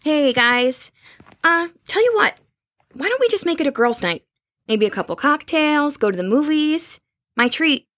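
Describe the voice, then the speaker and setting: A woman's voice: high voice, expressive, a little slow, fairly bright tone, dry recording, clean studio sound. An actress playing a young woman in an audio drama, earnest and uneasy as she speaks. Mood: hesitant, coaxing. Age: young adult.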